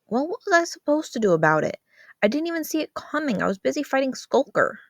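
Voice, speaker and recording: Woman acting teenage boy, higher pitch, sheepish, defensive, hesitant, story reading. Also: big pitch swings, fast talker, dry recording, studio quality. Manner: hesitant, excuse-making.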